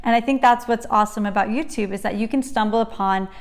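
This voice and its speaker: A woman's voice: a little high, fast talker. A young woman in casual talk to camera, chatty and enthusiastic, often breaking off to interrupt herself. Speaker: YouTuber sharing her channel story. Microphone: camera at desk, reading notes off a computer.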